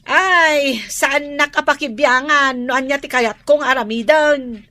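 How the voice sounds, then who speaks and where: A brash, gossipy Ilocano housewife in a radio drama. She speaks in a high voice with a careless, cheeky manner, recorded very dry. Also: measured pace, pushed and bright, dry recording. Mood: defensive.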